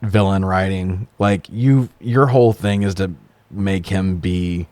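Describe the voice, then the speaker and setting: A man's voice: low-pitched, takes it slowly, darkish tone, no echo. A man co-hosting a casual film review podcast, chatting and asking trivia in a blunt, informal, profane way. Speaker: podcast co-host. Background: music bed.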